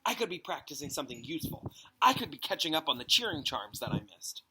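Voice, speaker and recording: A man's voice: a little high, big pitch swings, quick pace, sharp tone, slight background noise, full character voice, rough recording. Casting: male reader as clever, exasperated schoolgirl, scornful and pointed delivery, storybook read-aloud. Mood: irritated. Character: exasperated, sceptical bright schoolgirl.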